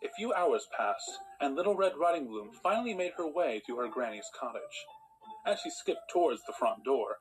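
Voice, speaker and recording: Guy reading a storybook narration, medium pitch, faint music under him. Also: rough recording.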